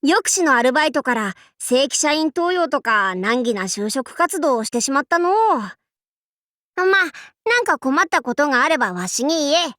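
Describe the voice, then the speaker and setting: A woman's voice: high voice, animated, normal speed, sharp tone, dry recording, studio quality. An actress playing a boastful young female demon-king warlord in an anime game, with archaic lordly speech that flips between haughty and goofy. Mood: breezy, teasing. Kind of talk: Japanese anime game character voice lines.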